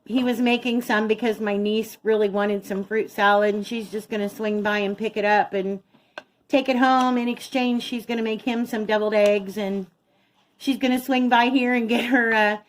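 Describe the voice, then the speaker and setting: Higher-pitched woman, middle-aged, casual cooking vlog host, chatty, talks through each step. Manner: chatty, narrates each step as she works. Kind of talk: casual chat while cooking. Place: home kitchen.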